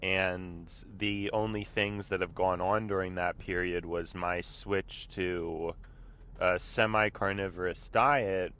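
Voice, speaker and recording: A man with a very deep, congested voice rambles slowly from the seat of a car. He keeps stopping to clear his throat, and his words come out in loose, meandering stretches. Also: steady tone, bright, forceful tone. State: congested, slightly sick voice. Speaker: vlog host. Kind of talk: casual talk while driving. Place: inside a car.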